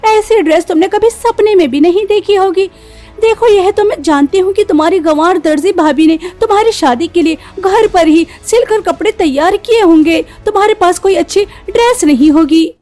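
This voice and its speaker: A woman's voice: very high voice, a little mellow. A woman voicing a boastful, snobbish modern sister-in-law in a cartoon, haughty and mocking. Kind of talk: cartoon story dialogue. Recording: music behind the voice.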